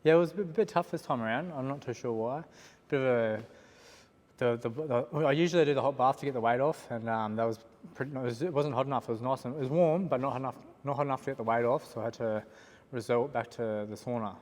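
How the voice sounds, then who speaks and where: A man who boxes, answering a casual interview in a rambling, halting way. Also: mid-range voice, a little mellow, big-room sound. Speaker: boxer.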